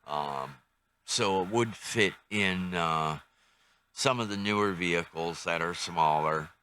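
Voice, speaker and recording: An older man talks through a casual hands-on review in a low-pitched voice with a bright edge. He takes his time, speaking slowly and tentatively as he works things out aloud. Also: a little hiss or hum. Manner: unhurried, tentative, thinking aloud. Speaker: radio reviewer.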